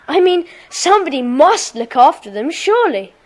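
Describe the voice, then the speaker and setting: A keen young schoolgirl detective in an audio play for children. Her voice is very high and lively, with an earnest, questioning manner. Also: moderate pace. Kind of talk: children's audio drama acting.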